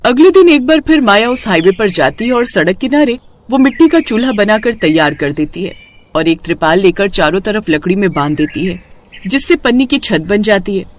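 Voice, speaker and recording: A woman's voice: mid-range voice, expressive, normal speed, a little hiss or hum. A woman storyteller reading scripted narration for a cartoon moral tale.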